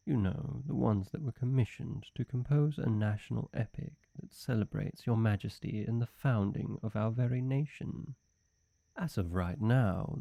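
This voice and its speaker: A man's voice: on the low side, vocal fry, mellow, no room sound, exaggerated voice. A man playing a suave, flirty demon servant, obsessively devoted, speaking formally and silkily in a scripted roleplay monologue. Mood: proud, eager. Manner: unhurried, silky, fawning, formal.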